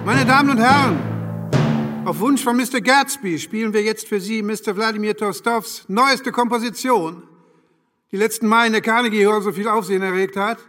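An actor playing a pompous bandleader declaiming a stage announcement at a grand party in a radio play. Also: male voice, sits high, normal speed, a bit bright, faint music behind. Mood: grand, excited.

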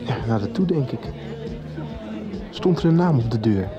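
A man's voice: medium pitch, expressive, moderate pace, lo-fi sound, over music, a crowd in the background, lightly acted. A young actor playing Joris, a young man on a search, in a radio soap. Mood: tense. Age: young adult. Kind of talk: 1990s radio soap acting.

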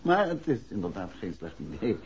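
An actor playing an urbane, teasing husband and amateur detective in a 1960s Dutch radio play, jovial and musing with small hesitations. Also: male voice, deep voice, huge pitch swings, fairly quick, gravelly, breathy edge, full character voice. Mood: dry teasing. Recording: dull vintage radio recording, music behind.

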